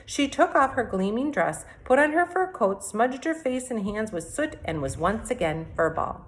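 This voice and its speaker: A woman's voice: on the high side, very animated, moderate pace. A woman reading a fairy tale aloud expressively, as a teacher would to children. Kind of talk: expressive storybook read-aloud.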